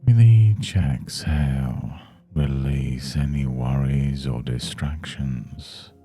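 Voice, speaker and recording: A man leading a guided meditation in a soothing, measured, hushed manner. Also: extremely low pitch, breathy edge, faint music behind. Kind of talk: guided Yoga Nidra meditation.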